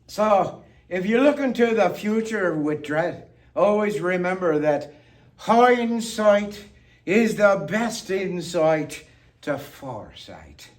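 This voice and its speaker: A man performing a theatrical monologue of toasts in a playful, tipsy toastmaster manner with deliberate pauses, recorded on a distant microphone. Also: sits high, expressive.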